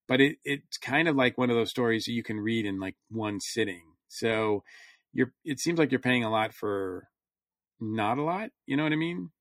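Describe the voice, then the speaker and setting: A man's voice: mid-range voice, fairly bright tone, no echo, clean studio sound. A man in casual, rambling conversation as a panelist on a review podcast.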